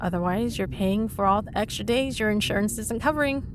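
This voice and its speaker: A cheeky female supervillain played by a voice actress in a comedy audio drama. Her voice sits at a middle pitch, and she teases with breezy, smug sarcasm, entirely at ease as a troublemaker. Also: fairly quick, noisy background, close to an everyday voice. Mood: sassy banter. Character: cheeky, smug woman supervillain, frequent hospital patient. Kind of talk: comedic audio roleplay acting.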